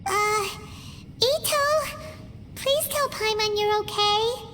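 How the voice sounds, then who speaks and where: A woman voicing a small, excitable, childlike female companion creature in a video game. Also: takes it slowly. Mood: worried. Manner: squeaky, exclamatory, refers to self in third person. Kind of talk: video game cutscene acting. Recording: voice under loud game music.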